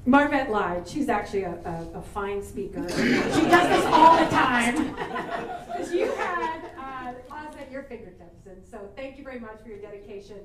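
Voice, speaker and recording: Woman emcee, bright high-ish voice, echoey room with crowd noise. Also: animated, normal speed, few pauses, distant mic. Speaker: district official introducing speakers. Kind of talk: remarks at a board meeting. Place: board meeting room. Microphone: handheld mic.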